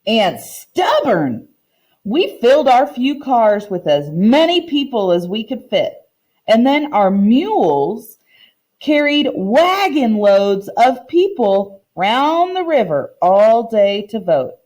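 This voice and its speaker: Woman reading as proud older woman storyteller, unhurried. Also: fairly high pitch, sing-song, darkish tone. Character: proud, earnest older Southern woman recounting her community's history.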